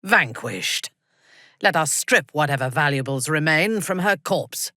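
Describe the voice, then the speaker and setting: Ruthless female dark elf warrior, haughty, deep-voiced, unhurried and deliberate, swooping intonation, video game character. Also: lively intonation, no echo, studio quality, exaggerated voice. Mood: composed, scheming. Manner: haughty, commanding, measured, deliberate.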